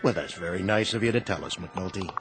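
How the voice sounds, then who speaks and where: An actor playing a wry, weary bartender in a comic radio drama, with a dry, needling way of talking. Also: male voice, deep voice, brisk, over music, natural voice.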